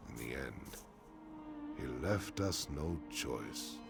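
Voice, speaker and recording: Deep, gravelly, brooding orc chief. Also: male voice, animated, slow, over loud music, full character voice. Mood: grim, sorrowful. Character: weary, solemn male orc chief, gloomy and honourable. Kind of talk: fantasy game dialogue.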